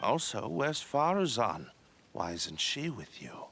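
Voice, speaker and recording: A man's voice: medium pitch, sing-song, takes it slowly, creaky, fairly bright tone, a little hiss or hum, full character voice. A man playing a shifty, irritable, falsely polite expedition boss in a video game, with a nervous, evasive manner. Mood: smooth, probing.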